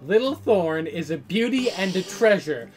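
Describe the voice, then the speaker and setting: A woman's voice: lowish voice, big pitch swings, normal speed, a little mellow, faint music behind. A woman voicing a spirited, playful younger sister who protests dramatically, in a visual novel read-along. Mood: indignant, boastful.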